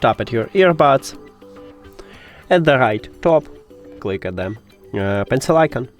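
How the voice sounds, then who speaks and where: Lively man giving a tech tutorial. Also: mid-range voice, a little slow, faint music behind. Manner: instructional, addressing the viewer directly. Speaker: host of a tech troubleshooting tutorial. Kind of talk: spoken step-by-step tutorial.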